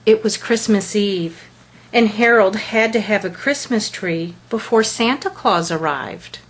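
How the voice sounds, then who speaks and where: Lady doing a kids book read aloud, really slow n deliberate, expressive, bit of a husky voice. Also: medium pitch, low-quality recording. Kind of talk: scripted children's picture book reading.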